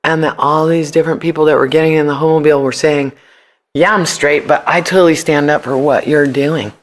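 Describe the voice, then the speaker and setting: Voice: medium pitch, a little fast. An American podcast guest telling stories casually and colloquially, animatedly acting out what people said. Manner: animated, colloquial, acts out quoted speech. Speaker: podcast guest, founder of a ride service. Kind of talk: casual storytelling in an interview.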